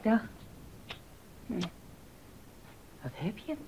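An actress playing a composer's level-headed, supportive wife in a docudrama, conversational and gently challenging. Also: female voice, drawn-out words, a little hiss or hum. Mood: calm, questioning. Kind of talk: docudrama radio acting.

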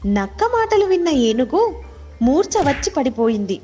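A woman's voice: sits high, sing-song, a little slow. A woman storyteller reading scripted moral animal tales for children. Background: faint music.

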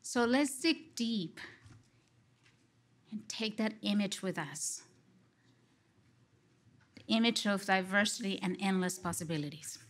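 A middle-aged woman from Colombia with a Colombian Spanish accent, giving an expressive conference response from a lectern on a large stage, speaking into a headset mic. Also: mid-range voice. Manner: expressive, gesturing, slow and deliberate. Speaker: lecture respondent, psychology professor.

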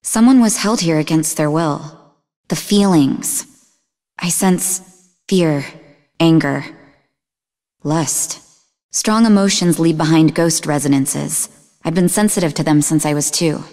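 An actress playing a sarcastic, sharp-witted young woman psychic who is easily exasperated, in a video game. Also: low voice, slow, pauses often, in a large hall. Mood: intense. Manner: sarcastic, teasing.

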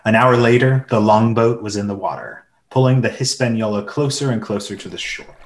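A storyteller, played by an actor with a medium-pitched voice. The delivery is scripted first-person narration of a boyhood sea adventure, in radio play style. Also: expressive, fairly quick, natural voice.

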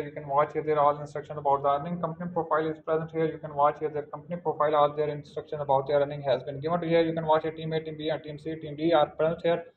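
Fast, flat-toned man narrating a tutorial. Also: on the high side, muffled recording, a little hiss or hum. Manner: repetitive, formulaic walkthrough phrasing. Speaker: channel host. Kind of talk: screen-recording tutorial voice-over.

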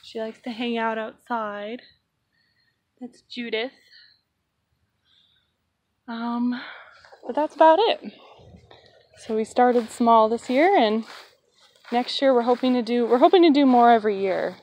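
A woman filming a relaxed, casual tour of her backyard garden on a phone, chatting with plenty of ums. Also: a little high, takes it slowly, long pauses. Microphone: phone held while walking.

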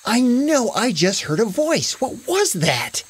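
An excitable young boy in a children's story , played by a woman. The voice jumps up high and swings about, full of wonder, with casual exclamations. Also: slow pace, breathy edge, heavily acted. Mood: puzzled, calling. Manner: amazed, exclaiming, casual kid speech. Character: excitable, wide-eyed young boy at summer camp. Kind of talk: children's story character acting.

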